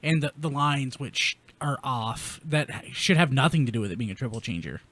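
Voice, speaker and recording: A man's voice: mid-range voice, lively intonation, a little fast, fairly bright tone. A man hosting a podcast in a casual, chatty style. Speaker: podcast host. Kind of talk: casual group chat.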